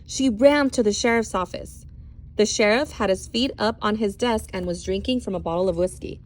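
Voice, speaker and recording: A woman reading a novel aloud as an expressive storyteller. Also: mid-range voice, moderate pace, rough recording.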